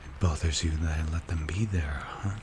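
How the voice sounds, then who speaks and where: Male actor as calculating crime boss, deep voice, breathy and hushed, slow-burning menace, strict mentor, ASMR roleplay, noticeable background noise. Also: fairly even intonation. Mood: calm, calculating.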